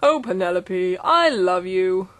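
A woman's voice: fairly low pitch, lively intonation, slow pace, a bit bright. A woman voicing a curt, bossy father doll who plays favorites in a homemade comic story. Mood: fond, casual.

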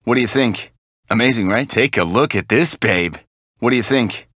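An actor playing a foul-mouthed, cocky gangster mechanic, abrasive and boastful. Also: male voice, medium pitch, moderate pace, fairly bright tone, no room sound, clean studio sound, lightly acted.

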